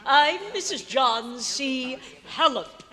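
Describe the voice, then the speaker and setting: A woman's voice: high-pitched, animated, slow pace, fairly bright tone, full character voice. A woman playing a fussy, effusive society lady in an old-time radio drama, fluttery and gushing. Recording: echoey hotel hall live performance.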